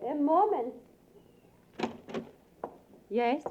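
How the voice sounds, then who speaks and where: Brief: proud, enigmatic female mind reader, high voice, slow formal delivery, vintage radio drama with muffled sound. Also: expressive, background noise, heavily acted. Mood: cool, guarded. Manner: solemn, deliberate, formal speech. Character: mysterious, proud European female stage clairvoyant. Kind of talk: 1940s radio acting. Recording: muffled 1940s radio broadcast.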